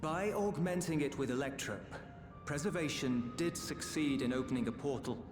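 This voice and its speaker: A man's voice: a little high, close to an everyday voice. Man acting a solemn sage in an echoing hall. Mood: grave, cautioning. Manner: grave, measured, formal. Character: solemn, sage male keeper of old knowledge. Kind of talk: dramatic game cutscene acting. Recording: echoey hall reverb, game music.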